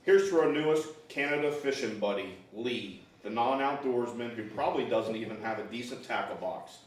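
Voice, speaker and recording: A man's voice: mid-range voice, moderate pace, far from the mic, close to an everyday voice. A man playing a crude, teasing redneck fisherman in his fifties at a screenplay table read. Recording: very echoey room, off-mic, faint hiss.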